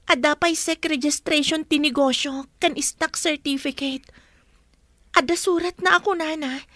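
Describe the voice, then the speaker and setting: Woman acting earnest, very high-voiced young woman. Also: fairly even intonation, a little slow, fairly bright tone, no room sound. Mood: earnest, hopeful. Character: young captive heiress Eva, pleading, hopeful, dutiful niece. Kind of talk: Ilocano audio drama acting.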